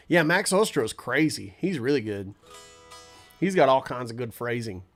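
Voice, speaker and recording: A man hosting a casual livestream, answering chat in a rambling, relaxed way with a stuffy nose and lots of fillers. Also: mid-range voice.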